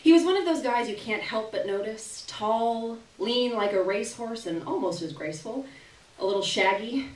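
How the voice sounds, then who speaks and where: A young woman performs a short story in a fairly high, very lively voice, phrasing it deliberately and theatrically. She is heard from a distance in an echoing small room. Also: slight background noise. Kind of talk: expressive literary reading to a live audience. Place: small indoor gallery reading event. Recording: roomy live venue, distant camera microphone.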